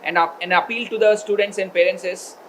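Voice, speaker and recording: A man giving a formal welcome speech at a college event, pausing often with fillers. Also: high voice, fairly even intonation, a bit bright, a little hiss or hum. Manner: hesitant, many filler pauses. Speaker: education consultancy representative.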